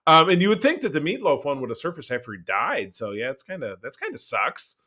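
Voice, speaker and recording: A man's voice: on the high side, sing-song, brisk, sharp tone. A man hosting a podcast in casual conversation, talking in an emphatic, rambling, opinionated way. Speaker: podcast host.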